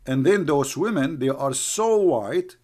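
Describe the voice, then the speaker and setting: Guy ranting, mocking and sneering, kinda high voice. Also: lively intonation, a little slow, darkish tone. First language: Arabic. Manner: mocking, scornful, calls names. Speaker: online commentator. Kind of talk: heated argumentative commentary.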